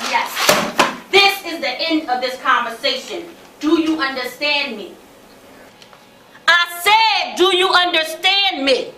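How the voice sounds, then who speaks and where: A woman's voice: high voice, animated, takes it slowly. An American woman playing a stern, domineering mother on stage, scolding in an emphatic, dismissive manner. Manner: scolding, emphatic, rhetorical questions. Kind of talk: stage play acting. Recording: echoey stage, distant mic, faint noise.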